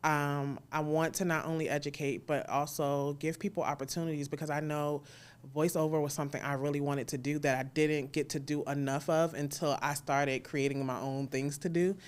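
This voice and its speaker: A woman's voice: low-pitched, level tone, moderate pace, clean tone. A woman speaking casually as an interview guest, in an informal, encouraging way. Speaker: interview guest, audio drama creator.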